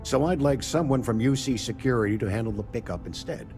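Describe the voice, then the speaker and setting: An actor playing a composed male secret agent in a video game, dry, measured and slightly condescending. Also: mid-range voice, a little mellow, lightly acted. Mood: businesslike. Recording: prominent music behind the voice.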